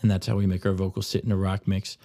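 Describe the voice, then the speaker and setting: A man with a slightly low voice narrates a software tutorial. He explains settings step by step as an instructor. The recording is close and dry, with little room sound. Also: completely flat delivery, fast, vocal fry, no echo. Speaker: audio plug-in tutorial presenter.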